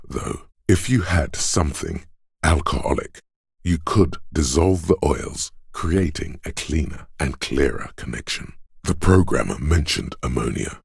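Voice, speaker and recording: A very deep, gravelly voice of logic. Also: male voice, dry recording, studio quality, exaggerated voice. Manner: measured, deliberate, dryly analytical. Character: cool, analytical inner voice of Logic, dry and superior. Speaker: video game voice actor. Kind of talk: dramatic video game narration.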